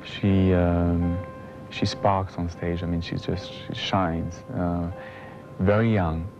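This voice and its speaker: A man giving unscripted interview remarks, halting as he searches for words. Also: sits low, level tone. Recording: dull sound with music behind.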